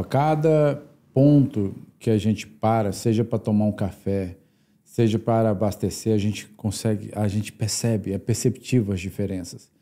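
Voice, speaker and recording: A man's voice: on the low side, warm tone. A man hosting a casual interview, agreeing often and adding reflective remarks. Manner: affirming, reflective, short agreements. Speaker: host.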